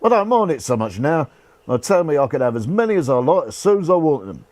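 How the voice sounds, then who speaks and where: An actor playing a cheerful, irreverent rough soldier's ghost in a radio play, talking in jaunty, colloquial speech. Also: male voice, a little high, sing-song, quick pace, darkish tone, no room sound. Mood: jolly, unrepentant. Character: cheeky, cheerful old-soldier ghost, rough common man. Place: actor's home.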